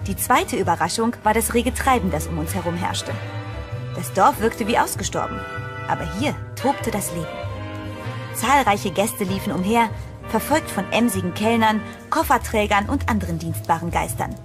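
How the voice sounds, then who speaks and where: An actress plays a young woman traveller in a horror radio play. Her voice sits at a middle pitch and she speaks with earnest, uneasy drama. Music plays clearly behind her. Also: expressive, moderate pace, lightly acted. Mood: awed storytelling. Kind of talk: German horror audio drama acting and narration. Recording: voice over prominent music.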